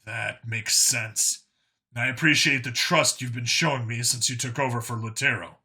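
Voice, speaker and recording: A man's voice: mid-range voice, fairly even intonation, moderate pace, bright, forceful tone. A man voicing a wary, polite human cop among aliens in a science fiction audiobook, plain and guarded.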